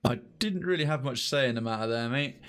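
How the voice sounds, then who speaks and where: A man's voice: medium pitch, animated, fairly quick, fairly bright tone, clean tone. A man streaming a video game, reading narration aloud and musing over it as he goes. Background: faint game music.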